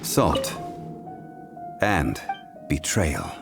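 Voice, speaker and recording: A man playing a calm, grave ancient god in human form in a video game, speaking in a measured, formal, stately way. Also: a bass voice, gravelly, loud music behind the voice, exaggerated voice. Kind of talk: solemn game dialogue, formal storytelling.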